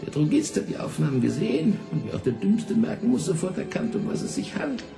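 Pompous hoarse intel officer, radio drama, swingy pitch, music behind him. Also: male voice, sing-song, quick pace. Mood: stern, contemptuous. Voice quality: thin, hoarse voice. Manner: condescending, officious. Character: fat, sweating, condescending French intelligence official.

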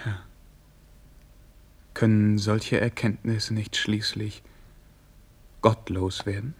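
A man's voice: fairly low pitch, level tone, slow pace, breathy edge, exaggerated voice. An actor playing a reserved, lonely young prince in a German radio play, courteous, earnest and hesitant in his stiffly polite speech. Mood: grave, restrained. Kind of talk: mid-century German radio play acting.

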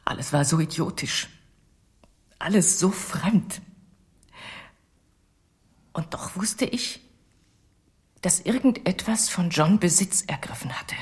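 An actress playing a weary, betrayed wife in a radio play, halting and confessional, with a husky, breathy voice. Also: female voice, lowish voice, lively intonation, whispered, heavily acted. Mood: troubled. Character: weary betrayed wife of a ventriloquist, resigned and bitter.

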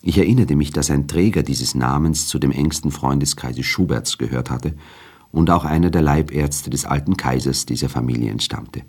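Extremely deep, husky male narrator. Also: a little mellow. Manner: measured, formal storytelling. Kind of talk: scripted literary narration for radio drama.

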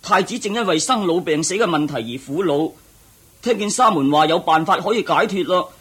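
A man's voice: high voice, expressive, brisk, a bit bright, breathy edge, full character voice. A man acting a timid, deferential royal charioteer in a radio drama, hesitant and stammering. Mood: earnest, explaining.

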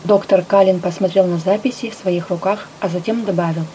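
Female storyteller, first-person narration, mellow voice, expressive delivery, light background hiss. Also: fairly low pitch, a little fast, rough recording. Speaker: audiobook narrator.

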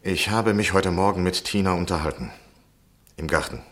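Classic radio drama lead, insistent, uneasy gentleman, deep voice, measured confessional storytelling. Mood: troubled. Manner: deliberate, literary, confessional. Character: anxious, insistent gentleman journalist recounting his obsession. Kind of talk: 1960s German radio play acting and narration.

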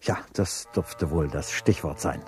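A man's voice: very deep voice, measured pace. An actor playing a courteous, slick male talk show host in a satirical radio play, formal and probing. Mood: smooth, announcing.

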